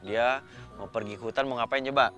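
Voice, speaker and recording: A man's voice: medium pitch, steady tone, fairly quick, pushed and bright, soft background music. A man telling a story to children in an informal, chatty way, often putting questions to his young listeners.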